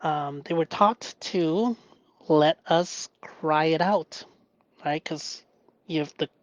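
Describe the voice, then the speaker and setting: A man from Hong Kong whose first language is Cantonese, talking through his past in a halting, reflective monologue, often checking in with "right?". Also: fairly high pitch, no room sound, highs cut off. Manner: halting, searching for words, often asks "right?". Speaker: vlogger.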